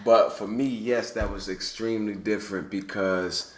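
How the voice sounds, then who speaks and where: Young man, very deep voice, laid-back slangy storyteller, roomy echo. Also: moderate pace. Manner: relaxed slangy storytelling, many "you feel what I'm saying". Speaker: guest. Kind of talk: casual chat. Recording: echoey room with faint noise.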